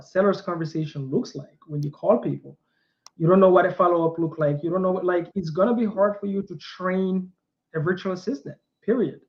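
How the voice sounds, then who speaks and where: Brief: energetic male host, slightly higher voice, dull lo-fi audio. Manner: energetic, coaching tone, says "bro" often. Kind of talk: casual interview and advice.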